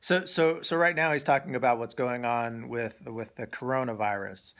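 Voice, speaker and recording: A man's voice: mid-range voice, fairly even intonation, normal speed, a bit bright. A man interviewing a friend in a casual chat, often restarting and repeating words as he goes.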